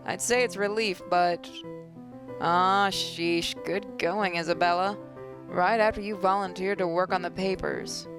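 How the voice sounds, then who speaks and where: A woman's voice: on the low side, fairly even intonation, a little slow. A woman hosting a gaming video, reading a visual novel aloud with asides and occasional stumbles. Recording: voice over game music.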